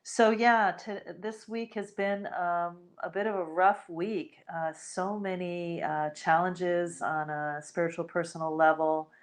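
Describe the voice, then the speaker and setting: A woman's voice: on the low side, fairly even intonation, unhurried, hardly any pauses. A woman from the United States hosting her own spiritual podcast, talking off the cuff in a reflective, meandering way with many fillers and restarts. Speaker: podcast host, shamanic healer. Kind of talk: spontaneous spiritual podcast talk.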